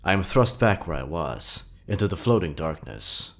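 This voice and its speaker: Low-pitched man narrating horror with dramatic swings. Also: sing-song, moderate pace. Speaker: horror story narrator and channel host. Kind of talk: dramatic scripted narration of creepy stories.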